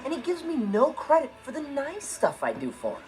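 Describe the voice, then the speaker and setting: A man's voice: extremely high pitch, huge pitch swings, a little fast, background noise, exaggerated voice. A young man with an American accent playing a cocky, mischievous teenage stepbrother in a sitcom monologue, teasing and exaggerating. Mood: aggrieved. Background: loud music.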